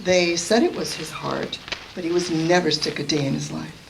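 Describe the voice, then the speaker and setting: Woman playing a creaky-voiced, composed young heroine. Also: low-pitched, steady tone, normal speed. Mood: pensive. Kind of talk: live radio play acting. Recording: noisy live stage recording.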